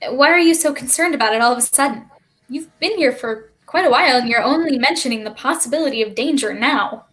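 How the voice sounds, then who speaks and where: A woman playing a defiant, quick-witted child spacewalker in a theatrical script reading, flustered yet argumentative. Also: high-pitched, a bit bright. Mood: suspicious. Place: remote online stream.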